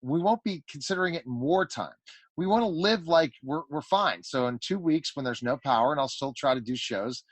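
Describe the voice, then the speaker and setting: Slightly high-pitched male radio host, dry sound. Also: animated, fairly quick, no room sound. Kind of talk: casual radio interview banter.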